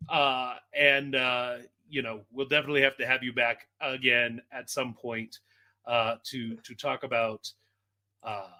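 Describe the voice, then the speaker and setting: A man hosting a casual, comedic podcast, speaking in a joking, halting way. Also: medium pitch, little pitch movement, bright, forceful tone. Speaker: podcast host.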